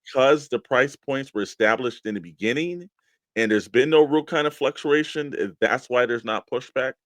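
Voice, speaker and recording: A man's voice: mid-range voice, normal speed, fairly bright tone, dry recording. A man co-hosting a talk show, chatting casually and putting questions to his partner. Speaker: co-host of a toy collecting show.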